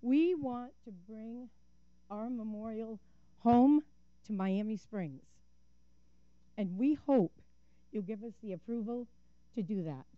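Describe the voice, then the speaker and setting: A woman's voice: fairly high pitch, slow, pauses often, dry recording, muffled recording. An older woman giving a prepared public comment at a council meeting, an earnest and vivid storyteller with a few fillers. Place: city council chamber. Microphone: podium microphone.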